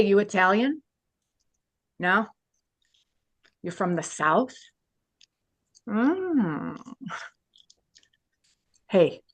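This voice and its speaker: A woman playing a tough, streetwise grade-school teacher in a sitcom table read, blunt and deadpan. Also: medium pitch, no echo. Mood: suspicious, brash.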